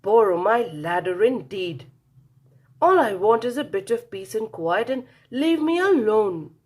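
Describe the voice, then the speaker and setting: A woman acts out a cantankerous male badger from a children's storybook. He blusters and scolds in emphatic, widely swinging tones, with a slightly husky edge. He sounds scornful and wants to be left alone. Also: mid-range voice, lively intonation, unhurried, a little mellow. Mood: indignant. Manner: blustering, spluttering, emphatic exclamations.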